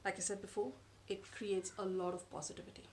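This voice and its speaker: A woman in her thirties whose first language is Tamil, talking to camera from a sofa in her living room in a measured, thoughtful way with frequent pauses. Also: medium pitch, level tone, a little mellow, slight background noise. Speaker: vlogger. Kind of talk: explanatory talk to camera. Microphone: camera in front at close range.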